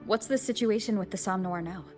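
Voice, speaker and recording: Calm, inquisitive young heroine voiced by a woman. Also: mid-range voice, brisk, soft background music, natural voice. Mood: calm, curious. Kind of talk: animated game character dialogue.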